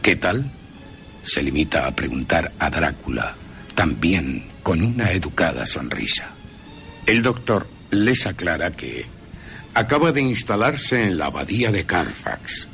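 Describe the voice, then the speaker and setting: A man's voice: low-pitched, very animated, a little slow, gravelly, a bit bright. A man with an accent from Spain giving scripted, theatrical and wry narration as a radio presenter. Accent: Spanish (Spain). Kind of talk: scripted dramatic narration of a film, with character voices. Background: music.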